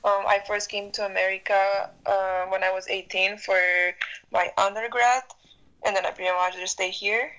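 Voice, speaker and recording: A woman's voice: medium pitch, little pitch movement, moderate pace, fairly bright tone, dull audio. A woman in her twenties from Romania, a graduate student guest giving short, plain answers about her background. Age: 20s. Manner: brief, plain answers with fillers. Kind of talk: casual roundtable interview.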